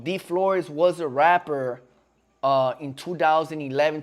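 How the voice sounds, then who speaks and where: A man in his twenties chatting casually on a live stream in slangy talk, often calling viewers "bro". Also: on the high side. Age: 20s.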